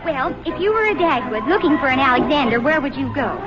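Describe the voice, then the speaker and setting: High-voiced, lively radio housewife. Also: female voice. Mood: brisk, fretful. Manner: brisk, motherly, gently exasperated. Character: Blondie, sensible affectionate housewife and mother. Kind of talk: 1940s radio sitcom acting. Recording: muffled, slightly noisy old radio broadcast.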